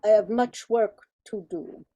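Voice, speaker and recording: A woman's voice: medium pitch, measured pace, heavy background noise, natural voice. A woman playing a grave, weary Greek muse in a radio play. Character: weary, busy immortal Greek muse from Olympus.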